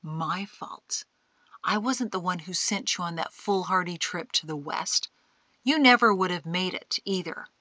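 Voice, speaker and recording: A calm old man speaking out of the dark in an audiobook, acted by a woman. The voice is hushed and breathy, a little low, with a slow pace. He sounds wry and gently chides. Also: no echo. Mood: dry, chiding. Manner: unhurried, gently chiding. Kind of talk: audiobook character acting.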